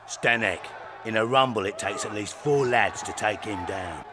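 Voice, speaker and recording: An actor playing a boastful, scheming fight promoter in a video game, with a grandiose way of talking. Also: male voice, fairly low pitch, moderate pace, crowd noise, background noise, natural voice. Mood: excited, showy. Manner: grandiose, speaks of himself in third person.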